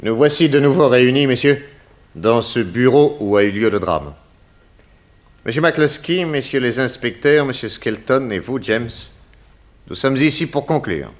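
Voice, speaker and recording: An actor playing a confident private detective in a 1960s French radio crime serial, speaking in a courteous, methodical and theatrical way. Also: male voice, medium pitch, lively intonation, unhurried, close to an everyday voice. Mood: formal, commanding. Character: confident male private detective exposing a murderer.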